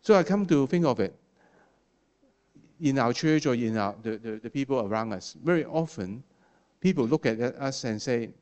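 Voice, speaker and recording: A man who is not a native English speaker preaching a sermon, talking in a halting way with many restarts and fillers and a habit of ending points with "okay?". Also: medium pitch, animated, darkish tone, muffled recording.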